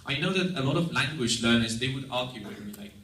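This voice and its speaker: A young man with a Russian accent, a native Russian speaker, delivers an earnest, measured prepared talk and sometimes restarts a sentence. His voice sits at an ordinary pitch. The room is echoey and he stands some distance from the mic. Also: animated, bright, forceful tone. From Estonia. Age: young adult. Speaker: exchange student giving a presentation. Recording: roomy sound, mic at a distance.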